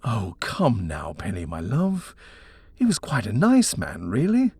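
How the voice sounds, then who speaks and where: A man's voice: on the low side, huge pitch swings, measured pace, gravelly, darkish tone, husky, no room sound, heavily acted. A man voicing an eager young husband in a story, persuasive and indulgent as he talks up a house. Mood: indulgent, amused. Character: eager, coaxing young British husband, smooth-talking house-hunter. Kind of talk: storybook character reading.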